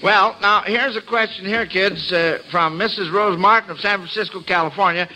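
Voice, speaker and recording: Bright, forceful American radio quizmaster, a man. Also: sits high, moderate pace, rough recording. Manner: folksy, chatty patter addressed to kids and listeners. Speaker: quiz show host. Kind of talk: classic mid-century radio hosting, part scripted and part ad-libbed.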